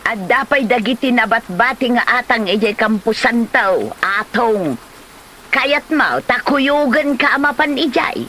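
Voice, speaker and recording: A woman's voice: on the high side, lively intonation, unhurried, pushed and bright. A woman acting a gossipy, nagging character in an Ilocano comedy drama, chatty and full of exclamations. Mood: nagging, cautioning. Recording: faint noise behind the voice.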